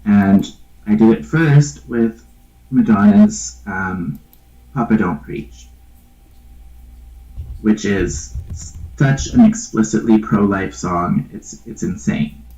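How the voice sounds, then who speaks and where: A congested, slightly sick man with a nasal voice, rambling through a casual opinion monologue with low energy and many ums. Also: takes it slowly, long pauses, dark tone, reverberant, soft background music. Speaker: channel host. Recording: dull lo-fi recording.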